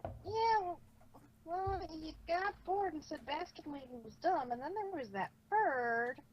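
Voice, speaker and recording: Woman playing a very high-pitched young cartoon filly. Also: lively intonation, a little slow, lo-fi sound, a little hiss or hum. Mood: sheepish. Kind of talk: fan fiction character reading.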